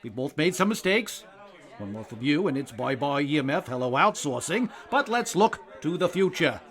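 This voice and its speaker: A smug, self-serving government secretary in an audio comedy. He speaks in a slightly high male voice, pompously, stumbling over hesitations as he goes. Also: big pitch swings, normal speed, fairly bright tone. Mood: veiled threat. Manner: hesitant uh-peppered, smug politician's drawl. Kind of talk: audio comedy drama acting.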